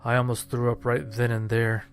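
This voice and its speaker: A man narrating a scripted first-person horror-comedy story in a deadpan, sardonic manner. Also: mid-range voice, normal speed, faint music behind.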